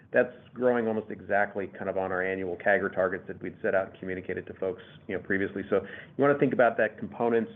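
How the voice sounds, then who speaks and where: Quick, low-voiced man on a phone line. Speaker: company finance executive answering questions. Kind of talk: unscripted earnings call answers. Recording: boxy phone conference line.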